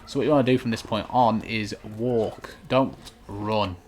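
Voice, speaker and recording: Low-voiced man doing casual game walkthrough, noisy recording. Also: moderate pace, a little mellow. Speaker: gaming video host giving a walkthrough. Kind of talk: casual instructional gameplay commentary. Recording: clearly noisy home recording.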